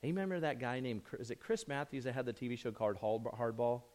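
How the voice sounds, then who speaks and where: A hoarse man fighting off a chest cold gives a casual sermon from the pulpit. His voice sits at an ordinary middle pitch. He rambles, restarts his sentences and pokes fun at himself between points. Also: quick pace, darkish tone. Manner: chatty, self-joking, rambling with restarts. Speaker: pastor. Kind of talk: conversational sermon.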